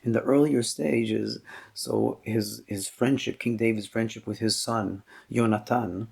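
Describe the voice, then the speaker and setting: Low, gravelly-voiced male rabbi teaching. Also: steady tone, a little mellow. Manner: halting, thoughtful explaining with many pauses and restarts; weaves Hebrew terms into his talk. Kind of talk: Torah class lecture.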